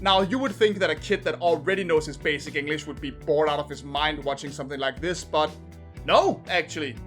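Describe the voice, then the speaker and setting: Guy in his 30s, snarky animated review voice, a bit high pitched, faint music behind. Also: brisk. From Denmark. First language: Danish. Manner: sarcastic, animated delivery. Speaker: video reviewer. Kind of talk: scripted review narration.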